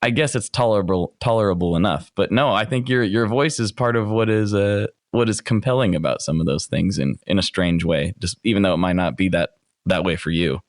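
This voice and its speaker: A man's voice: sits low, a little fast, no room sound, clean studio sound. A man hosting a podcast, chatting in a friendly way with a radio announcer's voice. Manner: chatty, friendly, thinks aloud. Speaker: podcast host. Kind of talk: casual interview.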